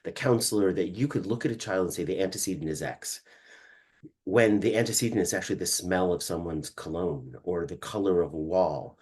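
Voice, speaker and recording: A man hosting a conversation, animated and quick to restart his sentences with repeated words. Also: sits low, husky. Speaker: podcast host and trainer. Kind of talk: conversational interview.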